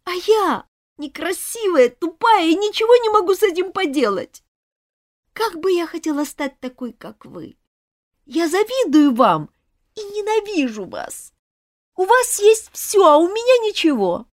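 A woman's voice: a little high, wildly swooping pitch, unhurried, darkish tone, voice pushed up, heavily acted. A woman voicing a miserable, jealous schoolgirl who hates herself, her voice trembling near tears. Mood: tearful. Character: miserable self-hating schoolgirl, jealous and tearful.

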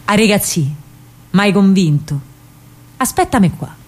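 A woman voicing an earnest older man with a Roman accent, a grave and deliberate anti-fascist mentor in a radio drama. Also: medium pitch, lively intonation. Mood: grave, confiding. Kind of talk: theatrical monologue, radio drama.

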